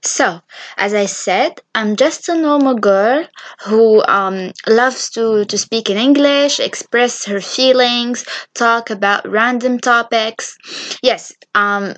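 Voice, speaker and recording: Slow-talking young woman, Arabic native. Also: fairly high pitch. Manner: chatty, hesitant with many fillers and restarts. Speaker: podcast host. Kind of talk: casual solo podcast monologue.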